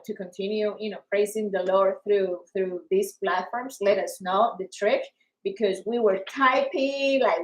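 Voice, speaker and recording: A Colombian woman with an accent, co-hosting a live worship stream in an energetic, excitable way. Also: medium pitch, rough recording. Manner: energetic, excitable, with squeals and laughs. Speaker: co-host of an online worship show. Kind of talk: casual live-stream chat. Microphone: live stream on phones and devices.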